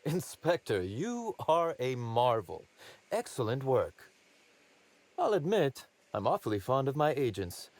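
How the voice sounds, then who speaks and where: An actor playing a wry, polished boss of a secretive outfit in a video game, speaking with formal courtesy. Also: male voice, medium pitch, very animated, a little slow, darkish tone. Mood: pleased, courteous. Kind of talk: conversational video game acting.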